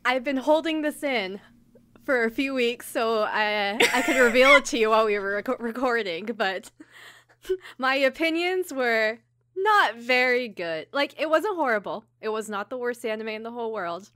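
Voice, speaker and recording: A woman's voice: fairly high pitch, animated, moderate pace, fairly bright tone. A woman co-hosting a podcast, chatting casually in an apologetic, giggly and hedging manner. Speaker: podcast co-host. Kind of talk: casual chat about anime.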